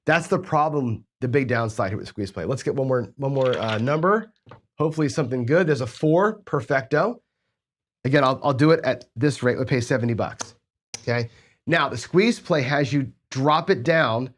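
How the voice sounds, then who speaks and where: A man's voice: medium pitch, animated, normal speed, slight background noise. Man with a stuffy cold voice. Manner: conversational, frequent tag questions "right?". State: has a cold, stuffy nose, weak voice. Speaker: host of a casino gambling channel. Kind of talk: explanatory strategy tutorial.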